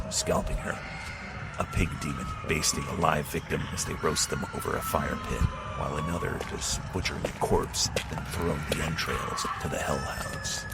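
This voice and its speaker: Deep-voiced male horror narrator. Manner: steady, measured storytelling. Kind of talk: scripted second-person horror narration. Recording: loud music score under narration.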